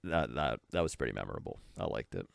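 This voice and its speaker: A man with an extremely low voice chats casually on a film podcast. He speaks as one co-host among a group, recorded close and dry. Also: lively intonation, fast talker, creaky, no room sound.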